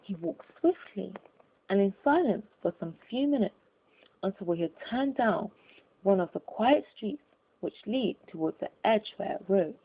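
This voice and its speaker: Husky woman narrator, phone-like sound. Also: medium pitch, lively intonation, a little slow. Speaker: audiobook narrator. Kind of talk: scripted literary narration. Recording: narrow, phone-like lo-fi audio.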